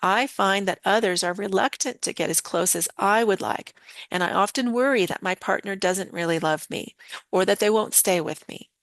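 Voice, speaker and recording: Woman coach talking to viewers in a live class, voice a bit hoarse, calm and explaining, dry close sound. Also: medium pitch, moderate pace, no echo. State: slightly hoarse. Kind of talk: teaching a live online session.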